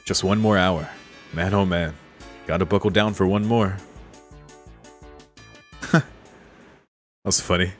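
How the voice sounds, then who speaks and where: A man with a low voice plays a visual novel, reading lines aloud and commenting casually. He is informal and chuckly, with faint music behind him. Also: steady tone, slow pace, pauses often. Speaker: streamer playing a visual novel.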